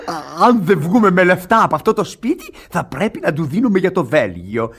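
High, husky, scheming father. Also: sing-song, fairly bright tone, heavily acted. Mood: conspiratorial. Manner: theatrical, exclamatory. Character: scheming, fussy, pompous father plotting for money. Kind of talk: Greek radio theatre farce acting.

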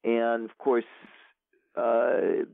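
A man's voice: medium pitch, very slow, gravelly, no room sound. A man, an author being interviewed, talking casually and rambling with many fillers and restarts. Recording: tinny phone line.

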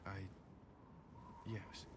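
An actor playing a humble, haunted military man in a video game, halting and awkwardly sincere. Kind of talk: video game cutscene acting. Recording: faint outdoor ambience noise.

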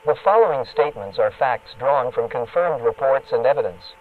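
A man playing an official emergency alert announcer, reading a scripted warning in a formal, impersonal, measured way through a radio filter. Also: mid-range voice, lively intonation. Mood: formal, grave. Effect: radio broadcast filter. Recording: narrow, phone-like radio broadcast sound.

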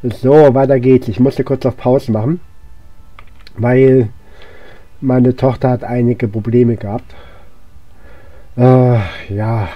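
A hoarse man with a cold giving casual, rambling gameplay commentary, with lots of fillers and restarts. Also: medium pitch, steady tone, slow pace, pauses often, dry recording, lo-fi sound. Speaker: let's play gamer.

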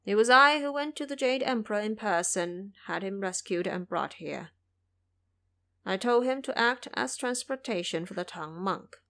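A woman's voice: medium pitch, lively intonation, moderate pace, gravelly, pushed and bright. A woman voicing a dignified female Bodhisattva in an audio drama, stately and authoritative. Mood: stern, resolved. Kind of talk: audio drama character acting.

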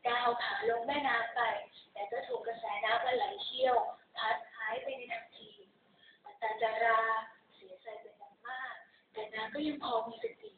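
A woman's voice: a little high, fairly even intonation, moderate pace. A young female student, in her teens, narrates a moral tale in a scripted, dramatic contest style. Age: teenager. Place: school competition room. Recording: distant, phone-like, echoey hall with noise.

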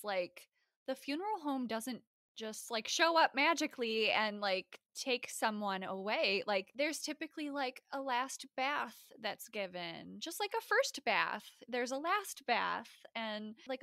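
A woman's voice: on the high side, bright, forceful tone, dry recording, clean studio sound. A woman from the American Midwest hosting a podcast, talking casually with lots of fillers. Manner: chatty, many "like" fillers. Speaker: podcast host. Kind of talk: casual interview chat.

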